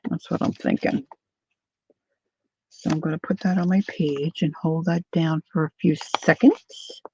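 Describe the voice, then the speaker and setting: An older woman chatting casually to viewers while she crafts, thinking out loud as she works. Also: low-pitched, fairly even intonation, a little slow, breathy edge. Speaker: craft livestreamer. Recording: dull lo-fi dry sound.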